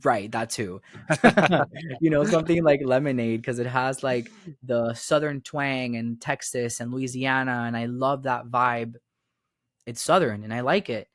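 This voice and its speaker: A man's voice: mid-range voice, measured pace, dry recording. Young man podcast guest, Miami-raised, rambles with fillers, dead-dry close mic. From Florida, raised in Miami. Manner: rambling, repeats phrases, many fillers. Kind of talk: casual conversation.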